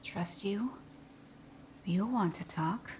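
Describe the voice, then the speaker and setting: Woman acting a slow-spoken, breathy female supervillain. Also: mid-range voice, warm tone, a little hiss or hum, natural voice. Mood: guarded, flustered. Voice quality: breathy, often whispered. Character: female supervillain thief, teasing bravado hiding a timid, wounded heart. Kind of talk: ASMR roleplay audio drama.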